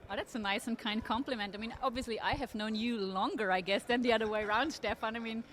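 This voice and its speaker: A woman from Austria, answering interview questions in a thoughtful, measured way at a table at a design fair. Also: a little high, steady tone, a bit bright. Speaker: interview guest, trend forecaster. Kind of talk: conversational interview.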